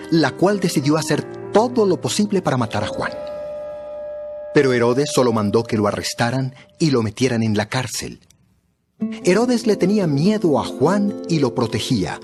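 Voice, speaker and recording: A man narrating a dramatized Bible story from a script. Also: medium pitch.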